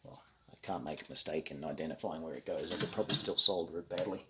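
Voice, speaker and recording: A man giving casual, unscripted how-to commentary as a hobbyist at work on a build, halting and thinking aloud as he goes. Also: a bass voice, background noise. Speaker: hobbyist narrating an electronics kit build.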